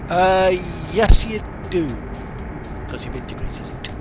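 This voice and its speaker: British man, fairly high voice, very varied intonation, casual gamer chat, hesitant asides, music in the background. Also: animated, slow, very noisy. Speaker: gaming streamer. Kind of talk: casual rambling chat while playing a truck driving simulator. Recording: music playing behind the voice. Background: music, vehicle engine sounds.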